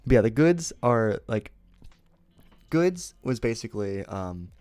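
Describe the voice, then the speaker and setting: A man's voice: medium pitch, big pitch swings, unhurried, a little mellow, no echo. A young man streaming, chatting casually and rambling, often correcting himself and laughing.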